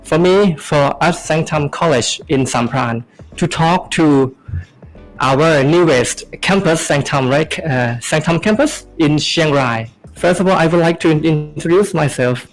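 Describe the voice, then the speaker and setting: Young guy w a hosting a chill podcast, slightly high voice, lots of ums, soft music underneath. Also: poor audio. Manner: hesitant, halting with many fillers. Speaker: podcast host. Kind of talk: casual podcast chat.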